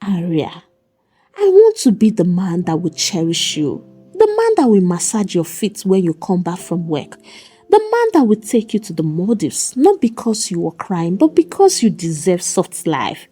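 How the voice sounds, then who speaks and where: Woman doing a playful young man wooing someone in a story, husky, super dramatic. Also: lowish voice, normal speed, faint music behind, heavily acted. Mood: earnest, smitten. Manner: dramatic, flattering. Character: playful, smitten young male suitor.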